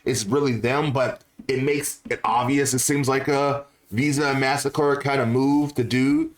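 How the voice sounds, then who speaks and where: A man's voice: mid-range voice, steady tone, normal speed. A man giving casual, opinionated gaming commentary to viewers, speaking in an informal, slangy way and repeating phrases for emphasis. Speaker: gaming YouTuber.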